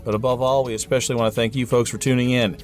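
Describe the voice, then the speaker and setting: A man's voice: medium pitch, little pitch movement, very fast, soft background music. Nasal-voiced male podcast host. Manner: joking, self-mocking banter. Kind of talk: casual chat, scripted outro.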